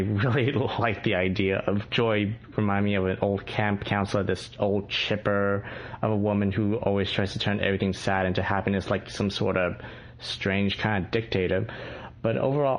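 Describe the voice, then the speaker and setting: Low-voiced man on dull lo-fi recording. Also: level tone, normal speed. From Atlanta, Georgia, United States. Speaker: listener calling in. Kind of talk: voicemail film opinion.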